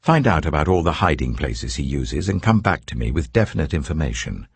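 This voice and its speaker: Man playing a gravelly, suspicious, commanding king. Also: very deep voice, sing-song, brisk, dry recording, full character voice. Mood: calculating.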